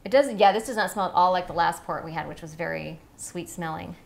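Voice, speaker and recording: A woman's voice: on the low side, brisk, gravelly. Chatty woman hosting a wine tasting. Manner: chatty, playful, storytelling. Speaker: host. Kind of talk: casual wine tasting chat. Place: living room set indoors.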